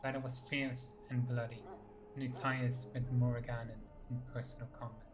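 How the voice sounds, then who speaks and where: Guy reading out game lore like a storybook narrator, music clearly playing behind him. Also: medium pitch, flat delivery, moderate pace. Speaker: game player narrating codex entries and dialogue choices.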